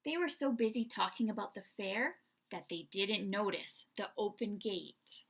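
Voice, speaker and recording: A woman hosting a read-aloud for young children, telling the story in an expressive, deliberate way. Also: medium pitch. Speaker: children's story reader and host.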